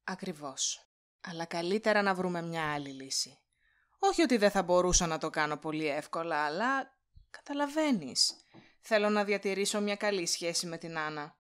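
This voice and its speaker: A woman's voice: on the low side, animated, smooth, lightly acted. Woman acting a haughty scheming villainess. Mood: smug, plotting. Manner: condescending, cutting. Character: haughty scheming vain female boss, cold villain. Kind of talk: Greek audio drama acting.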